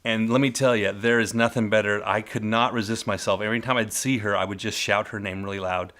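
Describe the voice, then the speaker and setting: A middle-aged man telling old work stories in a casual, rambling way, often correcting himself mid-sentence, with a loud voice. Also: on the low side, fairly even intonation, fast talker. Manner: rambling, self-correcting, warmly nostalgic storyteller. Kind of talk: casual spoken memoir. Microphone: close mic.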